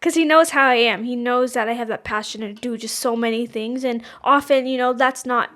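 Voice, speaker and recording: A woman's voice: a little high, steady tone, brisk, a bit bright. A woman in her twenties co-hosting a podcast, talking casually and rambling, searching for words with lots of fillers. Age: 20s. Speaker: podcast co-host. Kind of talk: casual chat.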